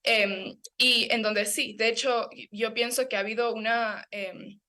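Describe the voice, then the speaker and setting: A woman's voice: on the high side, fairly even intonation, measured pace, bright, forceful tone. Passionate young woman activist. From Ecuador. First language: Kichwa. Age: young adult. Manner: earnest and passionate, with frequent fillers and restarts. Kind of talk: interview answer, advocacy.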